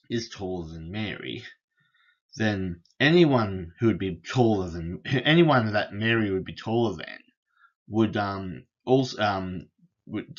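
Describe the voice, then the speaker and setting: A man lecturing on philosophy over slides, unhurried, with frequent fillers and restarts. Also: on the low side, highs cut off. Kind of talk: explaining logic over slides.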